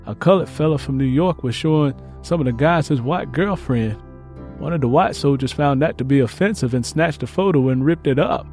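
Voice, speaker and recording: Earnest young country soldier, higher-pitched, read by a man. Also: brisk, darkish tone, soft background music, lightly acted. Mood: earnest, reflective. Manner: plain, earnest rural Southern phrasing. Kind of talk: first-person letter read in audiobook.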